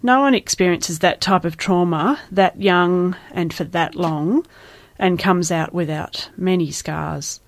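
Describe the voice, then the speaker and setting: A woman reading a personal testimony in a calm, earnest way. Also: on the low side, slow pace, no room sound. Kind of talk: scripted first-person testimony.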